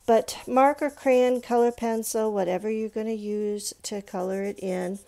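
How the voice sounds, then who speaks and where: A woman's voice: on the high side, steady tone, moderate pace, gravelly, dark tone. A woman giving an informal step-by-step drawing tutorial, thinking aloud and encouraging as she demonstrates. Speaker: drawing teacher.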